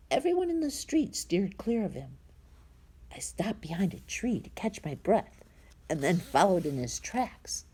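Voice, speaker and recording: A woman reading a children's story aloud in a dramatic, suspenseful manner, with a husky, breathy voice that drops into hushed tones. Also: on the low side. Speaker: storybook reader.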